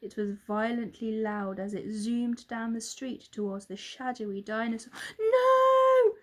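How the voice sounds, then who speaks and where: A woman narrating a children's storybook in a dramatic, expressive way. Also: medium pitch, moderate pace. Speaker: storybook reader, teacher.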